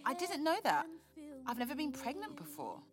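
A woman's voice: high-pitched, wildly swooping pitch, moderate pace. A woman playing a candid young pregnant student in an audio drama. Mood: weary, surprised. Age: young adult.

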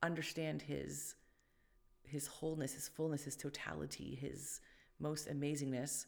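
Low, gravelly-voiced woman co-host. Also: level tone, normal speed. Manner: thoughtful, rambling with many restarts and fillers. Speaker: podcast co-host. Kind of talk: casual faith conversation.

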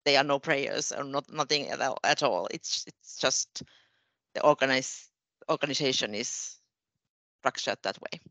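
A woman who speaks Finnish natively, joining a casual discussion as a guest, halting and repeating words as she searches for phrasing. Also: rumbling low, a bit bright, dry recording.

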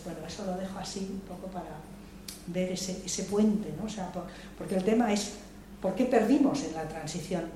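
An older woman from Madrid thinks aloud at a public debate, hesitant and full of restarts. Her voice is low and mellow. It is picked up from a distance in a strongly echoing hall, with faint noise behind it. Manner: reflective, hesitant, many restarts and repeated words. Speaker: debate speaker. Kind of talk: spontaneous political talk at a public debate. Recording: echoey room, voice from far off, faint noise.

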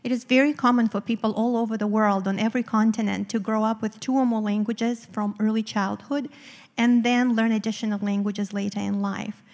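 A woman's voice: fairly high pitch, fairly even intonation, a little fast, a little mellow, big-room sound. A woman from Eastern Europe whose first language is Romanian, explaining research articulately as a guest in a live stage interview. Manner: articulate, explanatory, occasional fillers. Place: theater stage before a live audience.

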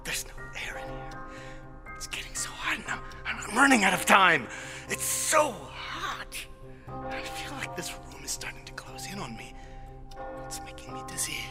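A man's voice: high voice, wildly swooping pitch, moderate pace, creaky, music in the background, heavily acted. A man acting a desperate condemned doctor in an audio drama, muttering breathily to himself and stammering. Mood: panicked, desperate. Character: desperate condemned doctor on death row, plotting escape. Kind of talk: audio drama acting, whispered interior monologue.

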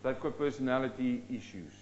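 A man from South Africa preaching a sermon, swinging between loud rising questions and low, slow emphatic drops. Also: dull audio, slight background noise. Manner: dynamic, rising and dropping sharply for emphasis.

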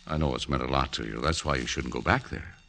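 An actor playing a kind, steady suitor, earnest and protective, in a 1940s radio drama. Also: male voice, a bass voice, quick pace. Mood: earnest, pleading. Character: kind, steady male suitor devoted to a widow. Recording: old radio broadcast, faint noise.

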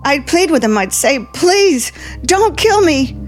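Terrified young hostage. High voice, slow hesitant pleading, vintage radio suspense, music underneath. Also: female voice, sing-song, full character voice. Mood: fearful. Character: frightened, pleading young woman held at gunpoint. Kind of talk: 1950s radio suspense acting. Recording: 1950s radio broadcast, music behind.

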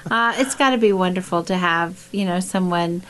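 A woman interviewing a priest on the radio, speaking conversationally and thinking out loud between questions. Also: lowish voice, moderate pace, slight background noise, poor audio. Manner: conversational, backchannels often, thinks aloud.

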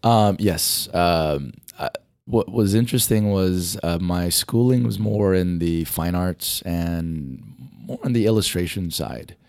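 A man's voice: sits low, slow, gravelly, darkish tone, no room sound, clean studio sound. A middle-aged man from Hawaii who teaches motion design, answering interview questions in a rambling way with many fillers while losing his voice.

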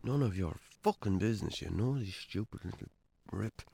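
An actor with an Irish accent playing a troubled, defensive, hard-drinking farmer in a radio drama, gruff, curt and sweary. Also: male voice, fairly low pitch, expressive, normal speed, background noise. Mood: hostile. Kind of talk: naturalistic radio drama acting.

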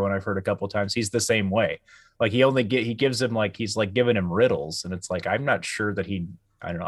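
Low-voiced man, tentative and casual. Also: dry recording, clean studio sound. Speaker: podcast host. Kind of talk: casual panel review chat.

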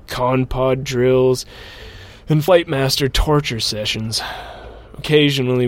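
A man playing a rookie mech pilot, narrating his own story in a measured, reflective way in an audio drama. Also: medium pitch, close to an everyday voice. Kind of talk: scripted first-person audio drama narration.

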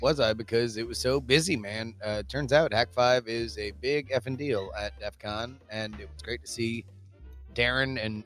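Man, hoarse, gravelly, emphatic casual talk, mid pitch, faint music behind. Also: a bit bright. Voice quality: gravelly, strained, trashed voice. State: hoarse after a convention. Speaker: guest, politics podcaster. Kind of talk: casual chat.

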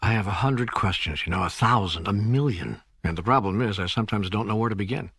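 A man playing a searching, frustrated ordinary seeker in an audiobook dialogue, asking in an earnest, plaintive way with a husky voice. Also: on the low side, fast talker, fairly bright tone, no echo, studio quality, exaggerated voice. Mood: overwhelmed, hesitant. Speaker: audiobook narrator. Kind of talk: scripted audiobook dialogue reading.

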